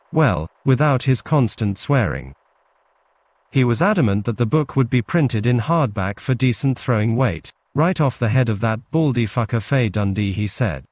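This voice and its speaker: A man with a Scottish accent reads a literary story laced with Scots words in a deadpan, clipped manner. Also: mid-range voice, dry recording. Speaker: narrator, storyteller. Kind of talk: literary story reading in Scots-flecked English. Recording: muffled lo-fi recording with background noise.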